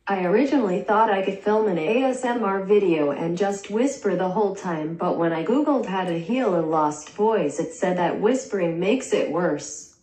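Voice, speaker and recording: A synthetic woman's voice reading a young vlogger's lines in a deadpan way, heard in a small bedroom through the camera mic. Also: medium pitch, normal speed, few pauses, echoey room, low-quality recording. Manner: deadpan, dry jokes. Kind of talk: text-to-speech vlog narration.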